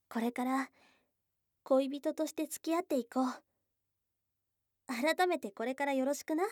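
High, slow, bashful goblin girl played by a woman. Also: no room sound, studio quality, exaggerated voice. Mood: touched, happy. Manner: tomboyish casual speech, bashful, affectionate. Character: shy, blunt young goblin girl newly in love. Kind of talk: Japanese ASMR situation voice drama, intimate. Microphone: close dry studio mic.

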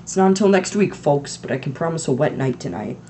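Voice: medium pitch, sing-song, fairly quick, darkish tone, a little hiss or hum, lightly acted. A reader voicing a jokey, chatty male television weatherman. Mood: jovial. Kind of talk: mock weather report.

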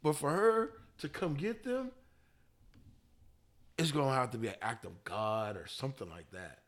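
A man's voice: medium pitch, moderate pace. Laid-back joking man podcaster. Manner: animated, joking, breaks into laughter. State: slightly congested with a cold. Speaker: podcast co-host. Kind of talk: casual chat.

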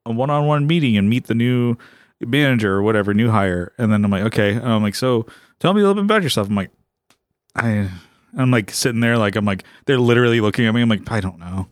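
A young man co-hosting a podcast, relaxed and agreeable, with frequent laughter. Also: mid-range voice, fast, dry recording, clean studio sound. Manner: laid-back, agreeable, laughs often. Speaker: podcast co-host. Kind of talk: casual podcast chat.

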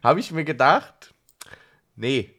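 Male podcast co-host, medium pitch, loose chatty delivery, self-mocking jokes and playful overstatement. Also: expressive, takes it slowly, fairly bright tone. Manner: self-ironic, joking, prone to exaggeration. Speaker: podcast co-host, social worker and workshop leader. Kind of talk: casual conversational podcast chat.

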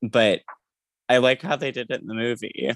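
Creaky-voiced podcast co-host with a dry close sound. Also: medium pitch, fairly even intonation, normal speed, dry recording. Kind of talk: casual book discussion.

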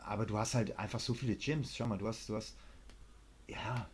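A man's voice: lowish voice, fairly even intonation, a little fast, slightly rough. A middle-aged man chatting casually on a podcast, rambling with many fillers and blunt, opinionated remarks.

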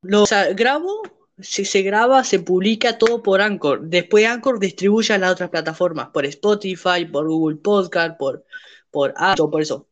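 A man's voice: high-pitched, normal speed, highs cut off. A young man, hosting a casual podcast chat, rambling with many restarts and trailing thoughts. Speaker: podcast host.

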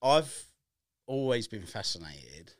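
A man's voice: medium pitch, big pitch swings, slow pace, no room sound, clean studio sound. A man hosting a podcast interview, chatty and jokey, asking long rambling questions with lots of fillers. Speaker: podcast host. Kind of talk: casual interview chat. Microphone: video podcast setup with camera.

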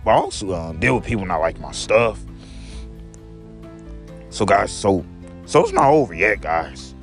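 A young man with a deep, gravelly voice talks straight to his viewers in a loose daily vlog. His pitch swings widely as he rambles, circling back to repeat each point, with faint music behind him. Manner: repeats each statement in an if-you and to-me pattern, says guys often. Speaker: vlogger.

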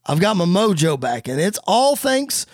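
A man's voice: high-pitched, very animated, normal speed, dry recording, clean studio sound, full character voice. A man playing a smug, showy wrestling villain giving a pompous, theatrical speech to the crowd in a wrestling story. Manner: pompous, theatrical promo delivery.

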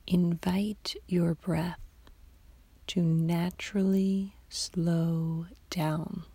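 Very slow, breathy woman guiding sleep meditation. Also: fairly low pitch, level tone, dry recording. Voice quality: soft, breathy, half-whispered. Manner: slow, soothing, long pauses between short phrases. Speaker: meditation guide.

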